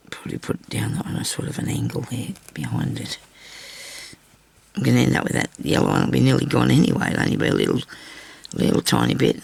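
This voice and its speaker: An older woman with a raspy, hoarse voice from a bad cough, chatting casually through a sewing tutorial at her craft table. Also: very deep voice. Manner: chatty, rambling asides while stitching. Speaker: crafting channel host. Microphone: overhead camera on her hands.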